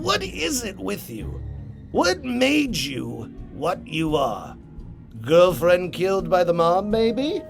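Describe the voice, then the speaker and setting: A man playing an insane, taunting villain clown in a comic-book drama, theatrical and rambling, lurching between hushed musing and shrill mania. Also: gravelly, exaggerated voice. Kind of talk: comic-book motion comic acting.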